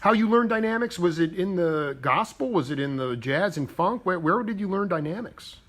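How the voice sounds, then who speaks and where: A male host with a fairly high voice jumps in eagerly, cutting in with opinions and then long, winding questions. His tone stays conversational and quick to interrupt. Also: animated, fairly bright tone. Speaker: radio show host. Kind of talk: casual interview chat. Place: live interview at a music retreat.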